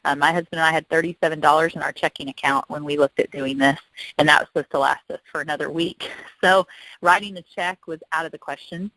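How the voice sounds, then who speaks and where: A woman's voice: low voice, steady tone, fast talker, pushed and bright, no echo, poor audio. A woman giving an informal, upbeat sales presentation on a conference call, talking in a chatty, testimonial way as a guest consultant. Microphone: telephone conference call.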